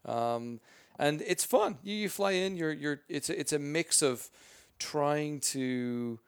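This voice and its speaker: A man with a booming voice answering interview questions as a spokesperson, energetic and rambling with many restarts. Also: slow pace, smooth. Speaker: game franchise spokesperson.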